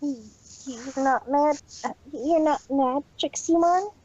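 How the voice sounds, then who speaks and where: A woman's voice: sits high, slow, background noise. A woman voicing a timid, nervous young unicorn filly who speaks of herself in the third person, in an amateur fan story reading. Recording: dull lo-fi home recording.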